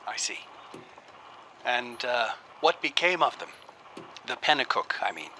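A man's voice: medium pitch, very animated, unhurried, sharp tone, exaggerated voice. A man playing a polite, inquisitive professor conducting a hesitant, probing interview in a radio drama. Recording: faintly noisy old cylinder recording.

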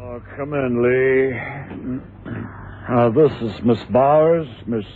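An actor playing a brisk, courteous official who explains things with small hesitations, in 1960s radio drama style. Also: male voice, mid-range voice, narrow, tinny audio, close to an everyday voice. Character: brisk, courteous male official introducing a specialist. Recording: thin noisy old radio broadcast.

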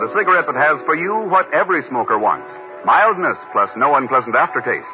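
A man announcing and narrating in a formal, declamatory 1950s radio style. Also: on the high side. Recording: lo-fi old radio, loud music behind.

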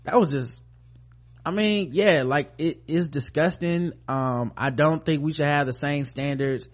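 A man's voice: on the high side, measured pace. An American man co-hosting a podcast, chatting casually about the news in a profane, slangy, sardonic way. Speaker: podcast co-host. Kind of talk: casual news commentary chat.

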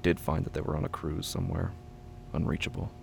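A man reading scripted horror fiction as narrator, measured, deliberate and somber. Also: low-pitched, flat delivery, gravelly. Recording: heavy constant background noise and hum.

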